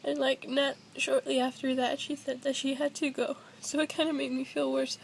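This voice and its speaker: A woman's voice: high voice, level tone, normal speed, creaky, slight background noise. A young adult woman talking casually and hesitantly in a personal confessional vlog, recorded at home on a built-in device mic.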